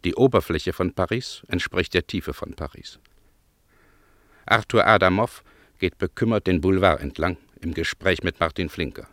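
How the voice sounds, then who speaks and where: A radio play narrator with a very low voice, recorded close and dry. He sets out literary observations in measured, clipped phrases. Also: a bit bright, dry recording. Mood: observant. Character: male narrator observing nighttime city street scenes.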